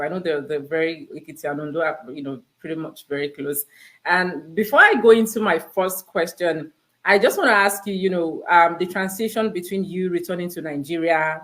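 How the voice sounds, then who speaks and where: Chatty, low-voiced woman host. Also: a bit bright. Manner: chatty, polite, many fillers like "you know" and "um". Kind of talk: live online interview.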